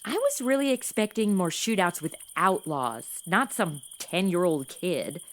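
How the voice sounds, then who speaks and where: A woman's voice: on the low side, very animated, measured pace. A woman playing a brash, oversharing modern young woman in a western comedy audio drama, with an American accent and a sassy, slangy, blurting delivery. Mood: impatient, complaining. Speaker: lead actor in a comedy audio drama podcast. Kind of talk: comedic radio-play acting.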